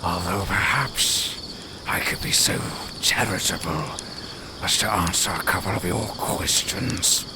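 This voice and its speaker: A man's voice: deep voice, unhurried, background noise. A man voicing a haughty, sardonic lone werewolf in an audio roleplay, speaking to the listener in formal, condescending, theatrical phrases. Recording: night forest ambience with crickets, clearly audible. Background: outdoor forest sounds, crickets.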